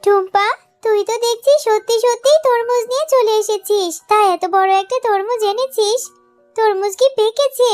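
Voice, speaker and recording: A woman's voice: very high voice, steady tone, moderate pace, a little mellow, faint music behind. A woman voicing a caring, fussing mother fox, a village housewife in a children's cartoon. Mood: fond scolding.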